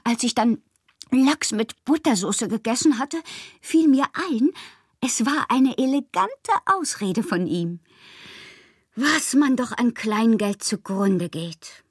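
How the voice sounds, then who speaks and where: A woman playing a whimsical bohemian poet who confides her letters in an intimate, breathy, theatrical way for a radio drama. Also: on the high side, expressive, slow pace, no room sound, clean studio sound, full character voice. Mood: confiding, plaintive. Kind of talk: literary radio drama, letters read as monologue.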